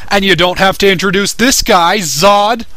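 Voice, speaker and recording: A man voicing a glib demon television producer in a cartoon parody, flattering and diplomatic like a salesman. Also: high voice, moderate pace, no room sound. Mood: gushing. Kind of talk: comedic cartoon parody dub.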